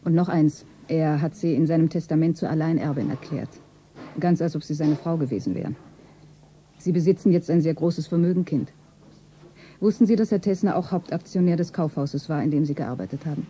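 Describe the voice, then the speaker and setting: An actor playing a grave, gentle doctor in a 1970s radio drama, solemn and measured as he consoles. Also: on the high side, lightly acted. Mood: gentle, formal. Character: grave, fatherly male private doctor breaking bad news. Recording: old radio play with faint noise.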